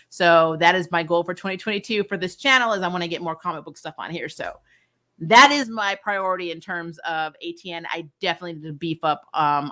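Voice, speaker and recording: A woman hosting a casual livestream review, chatting with viewers in an animated, emphatic way and repeating phrases for effect. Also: fairly low pitch. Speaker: host of a comic and TV review channel. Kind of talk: casual livestream chat, answering viewer questions.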